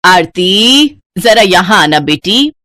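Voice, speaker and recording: A woman's voice: medium pitch, very animated, slow pace, pushed and bright, exaggerated voice. A woman voicing an affectionate older mother-in-law, the matriarch of a cartoon family. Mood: coaxing.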